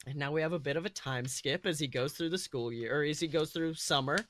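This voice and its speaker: A man's voice: a little high, fast, a bit bright, a little hiss or hum. A man hosting a podcast, casually presenting fan fiction that he reads aloud. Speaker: podcast host.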